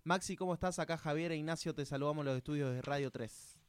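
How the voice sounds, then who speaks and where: A man's voice: on the high side, fast, smooth, no echo. An Argentine man hosting a conversational sports interview from a radio studio.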